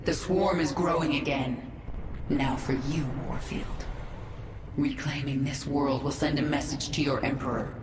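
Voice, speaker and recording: A woman's voice: sits low, steady tone, a little slow, reverberant, noisy background. A woman playing the commanding queen of an alien swarm in a video game, speaking in a cold, determined manner. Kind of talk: video game cutscene voice acting.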